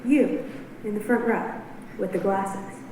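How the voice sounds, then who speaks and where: A woman voicing a vengeful haunted doll that makes slow, menacing taunts. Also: medium pitch, a little mellow, a little hiss or hum, lightly acted. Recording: echoey hall, distant mic.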